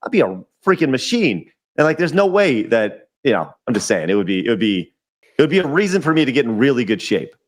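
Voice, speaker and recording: A man's voice: medium pitch, sing-song, quick pace. A man in his forties talks loosely as a podcast guest, with a mid-range voice. He doubles up words and restarts his sentences as he goes, the easygoing rhythm of an unscripted chat. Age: 40s. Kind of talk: casual chat. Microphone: remote call audio.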